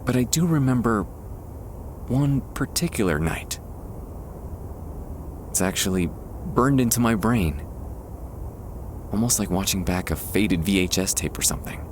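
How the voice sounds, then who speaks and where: A man playing a nervous, tender young man, a childhood best friend, in an intimate ASMR roleplay, speaking haltingly with pauses over soft outdoor ambience. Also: on the low side, animated. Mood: reflective, nostalgic. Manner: hesitant, halting, trailing pauses. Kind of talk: ASMR boyfriend roleplay, intimate acted monologue. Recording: faint background ambience noise. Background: soft outdoor park ambience.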